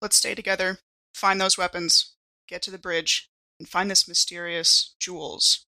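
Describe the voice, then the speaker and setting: A woman voicing a calm, formal android crew member who speaks in a precise, measured monotone. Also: fairly low pitch, no room sound, exaggerated voice, rough recording. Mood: calm, resolute.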